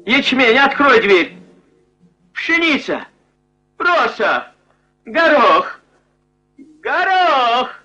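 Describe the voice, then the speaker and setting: A man voicing a greedy, treacherous merchant in a puppet fairy-tale film, with shrill, frantic exclamations. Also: big pitch swings, very slow, long pauses, bright, forceful tone, faint music behind, heavily acted. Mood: frantic, commanding. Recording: muffled old film soundtrack.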